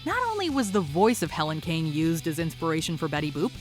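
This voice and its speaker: A woman's voice: low-pitched, big pitch swings, fast talker, faint music behind. A woman reading scripted, upbeat narration as the host of a countdown list.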